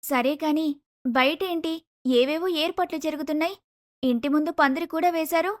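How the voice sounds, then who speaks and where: Woman acting an earnest high-voiced college girl. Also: a little fast, lightly acted. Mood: eager, questioning. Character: earnest, misunderstood younger sister, college student. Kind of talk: acted cartoon moral story.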